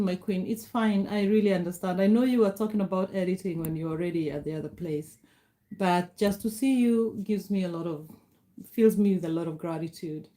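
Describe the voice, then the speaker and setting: Mellow-voiced Kenyan woman chatting live. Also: on the low side. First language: Kikuyu. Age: middle-aged. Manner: warm, grateful, greets viewers by name. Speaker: livestream host. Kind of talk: casual live chat reading comments.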